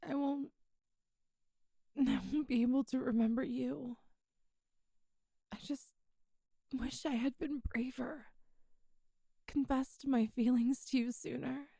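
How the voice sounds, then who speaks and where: A woman acts as an immortal demon goddess in an ASMR roleplay, her high voice mellow and close, with almost no room around it. She speaks tenderly and wistfully, halting softly between phrases like a lover confiding. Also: fairly even intonation, creaky, no echo, exaggerated voice. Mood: regretful, wistful. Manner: slow, halting, intimate, wistful. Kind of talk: intimate ASMR audio roleplay.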